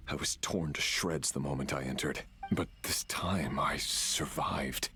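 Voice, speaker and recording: Very deep, brooding fallen villain. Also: male voice, bright, forceful tone, slight background noise, heavily acted. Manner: slow, brooding, solemn. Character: fallen, remorseful former vengeful villain, ancient, resurrected, weary. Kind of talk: video game voice acting.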